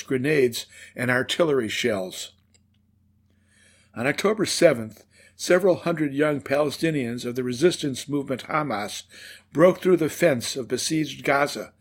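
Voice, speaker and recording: Man reading a measured show opening. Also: fairly high pitch. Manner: measured, read-aloud delivery. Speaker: radio show host. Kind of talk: scripted commentary and interview intro.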